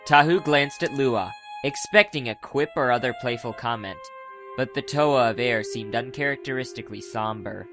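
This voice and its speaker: A man's voice: mid-range voice, moderate pace. Male narrator, mid-range, scripted fantasy storytelling, strong music bed.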